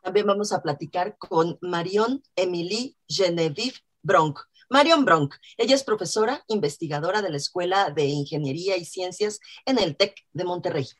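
A woman's voice: fairly low pitch, moderate pace, few pauses. A woman with a Mexican accent hosting a radio talk show, enthusiastic and chatty, with frequent fillers. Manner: warm, enthusiastic, many fillers. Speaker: radio host. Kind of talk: radio interview.

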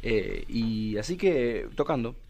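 A man in his thirties from Buenos Aires with a Buenos Aires Argentine accent, a musician answering interview questions in an unhurried way, full of fillers and restarts. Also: medium pitch, lively intonation, a little mellow. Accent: Argentine Spanish, Buenos Aires. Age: 30s. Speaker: musician guest.

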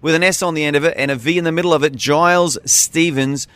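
A male host interviewing a guest, encouraging him and spelling things out clearly for listeners. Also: fairly high pitch, steady tone, quick pace, a bit bright, no echo. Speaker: radio host. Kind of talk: interview hosting.

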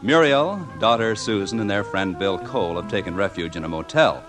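An actor working as the mock-dramatic announcer and narrator of a 1950s radio comedy. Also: male voice, lowish voice, expressive, normal speed, music in the background, lightly acted. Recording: vintage 1950s radio broadcast.

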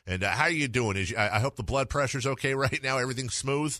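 A man's voice: medium pitch, quick pace, pushed and bright, no echo, clean studio sound. A man hosting a sports radio interview in a conversational way, wordy and circling back as he phrases his questions.

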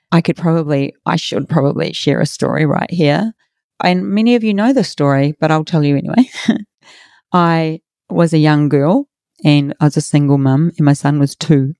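A middle-aged woman hosts a motivational podcast, her voice raspy and hoarse. She talks in an earnest, encouraging way, her tone mellow and warm. The recording is dry and close, with no room sound. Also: sits low, normal speed, no room sound. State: hoarse from a workshop. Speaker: podcast host and coach.

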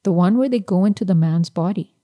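A woman reading as a gentle, hushed father. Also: high-pitched, a little fast, close to an everyday voice. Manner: soft, hushed. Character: gentle middle-aged father, quietly amused.

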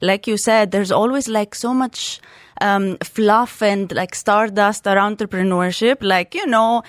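A woman's voice: medium pitch, a little slow, no echo. A woman from Crete in her thirties, a guest in a radio studio interview, talking in a chatty way with lots of fillers and restarts. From Greece (Crete). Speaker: guest, startup co-founder.

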